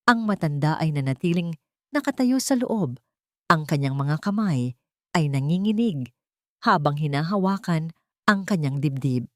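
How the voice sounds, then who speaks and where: A woman's voice: lowish voice, no room sound, clean studio sound. A woman narrating a scripted audio drama story in a dramatic storytelling style.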